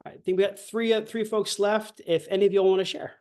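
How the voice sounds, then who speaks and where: Male instructor, high voice, easygoing and halting, video-call sound. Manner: relaxed, halting, many fillers. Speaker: language instructor. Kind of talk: online class instruction.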